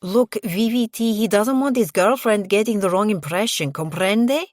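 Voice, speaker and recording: A woman's voice: medium pitch, normal speed, a little mellow, no echo, studio quality, pitched above the performer's own voice, natural voice. Woman putting on a cheeky Spanish-accented man. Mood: mock warning. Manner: playful, teasing. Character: teasing, cheeky Spanish man, smiling friend.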